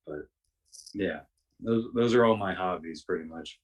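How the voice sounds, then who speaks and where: A man with a raspy voice chatting casually as a podcast guest, self-deprecating and loose with slang and swearing. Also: deep voice, unhurried. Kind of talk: casual interview chat. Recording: distant from the mic.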